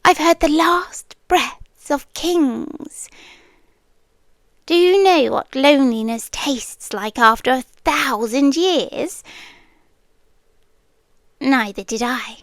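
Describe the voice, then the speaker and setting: A woman's voice: sits high, no echo. A British woman voicing an ancient, possessive siren captor, sweetly menacing, in an intimate roleplay ASMR monologue that often drops toward a whisper. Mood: lonely, haunting. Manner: slow, coaxing, often dropping into whispers.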